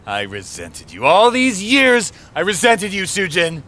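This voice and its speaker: An actor playing an anguished, self-loathing married man in an audio drama, halting and emotional. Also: sits high, huge pitch swings, bright, forceful tone, exaggerated voice. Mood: anguished, bitter. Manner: halting, emotional, dropping to whispers and rising to outbursts.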